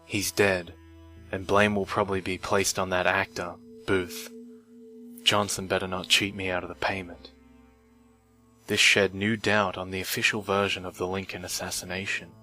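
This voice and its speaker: Deep-voiced man narrating a horror story. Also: flat delivery, moderate pace, pushed and bright. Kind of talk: scripted creepypasta narration. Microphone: old microphone. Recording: strange noise from old mic, music. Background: music.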